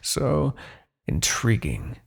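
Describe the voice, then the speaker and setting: Man acting a gravelly, haughty demon king. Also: medium pitch, huge pitch swings, extremely slow, full character voice. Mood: curious, wounded pride. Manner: haughty, theatrical, formal diction. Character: smug demon king, possessive, courtly, thwarted suitor. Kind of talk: ASMR roleplay, dramatic character acting.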